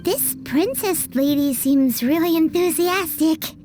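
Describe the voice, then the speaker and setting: A woman voicing a tiny, chatty fairy-like companion in an anime video game, with a squeaky childlike voice and a blunt, excitable manner. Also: takes it slowly, soft background music. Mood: curious. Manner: blunt, refers to self in third person.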